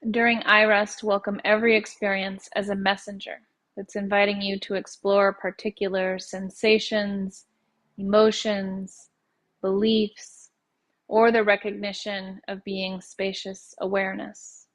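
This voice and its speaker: A woman guiding a meditation as a teacher, speaking in a calm, measured way with pauses between phrases. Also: mid-range voice. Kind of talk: guided meditation narration.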